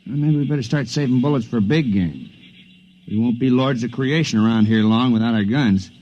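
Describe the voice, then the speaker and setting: A man's voice: mid-range voice, a little fast, dark tone, close to an everyday voice. A man playing a wry stranded companion. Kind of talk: 1950s radio drama acting. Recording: dull lo-fi 1950s radio recording.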